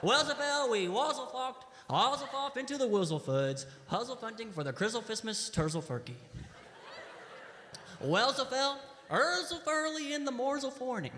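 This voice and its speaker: A man's voice: very high-pitched, moderate pace, pushed and bright, a little hiss or hum. A man playing a rambling, eager Canadian storyteller in a live comedy sketch, with an exaggerated Canadian accent and hesitant, folksy phrasing. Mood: eager, animated. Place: large hall, live stage show. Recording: echoey hall, live stage sound.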